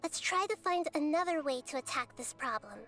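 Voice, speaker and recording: A young girl goddess in a video game, high and soft. The childlike voice is calm, gentle and measured, with music underneath. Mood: calm reassurance. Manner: composed, measured, articulate.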